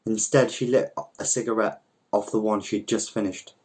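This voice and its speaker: A man reading literary fiction aloud as a measured storyteller, with slight stumbles. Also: lowish voice, steady tone, darkish tone, breathy edge. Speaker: volunteer story reader.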